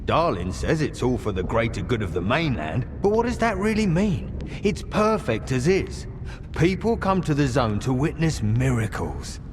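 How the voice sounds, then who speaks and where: A man's voice: medium pitch, big pitch swings, normal speed, natural voice. A man acting an idealistic, sceptical believer in a wondrous zone in a video game, speaking with impassioned, questioning delivery. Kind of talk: dramatic video game dialogue. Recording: reverberant space with ambient noise.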